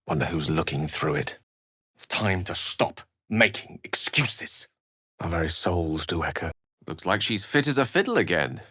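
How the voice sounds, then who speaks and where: An actor playing a learned, wry wizard adventurer in a video game, with a British accent and an eloquent, theatrical way of talking. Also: male voice, low-pitched, fairly bright tone, dry recording, clean studio sound. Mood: alarmed, indignant. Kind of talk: video game character voice acting.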